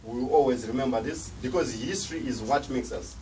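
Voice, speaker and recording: A man's voice: mid-range voice, a little fast, mellow. Hesitant man giving closing remarks. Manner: hesitant, many fillers. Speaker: event organizer. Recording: distant mic, clear background noise.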